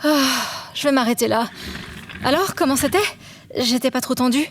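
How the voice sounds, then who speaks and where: An actress playing a brainy, teasing young woman student in a French audio drama, playful and expressive in her manner. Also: sits high, moderate pace, a little hiss or hum. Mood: studious. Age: young adult. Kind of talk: French audio fiction acting. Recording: music behind the voice. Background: music.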